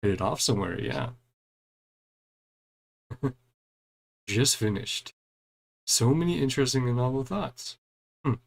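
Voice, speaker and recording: A man's voice: medium pitch, lively intonation, slow pace, vocal fry, long pauses. A man giving casual commentary on a game as he plays, musing aloud and reading bits of dialogue. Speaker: gaming streamer.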